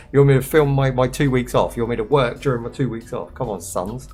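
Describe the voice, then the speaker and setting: A man's voice: medium pitch, a little fast, darkish tone. A man, chatting casually through a game for his viewers, slangy and rambling, with a voice he says he is losing. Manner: rambling, slangy, laughs often. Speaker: gaming streamer. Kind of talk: casual gameplay commentary to viewers. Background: music.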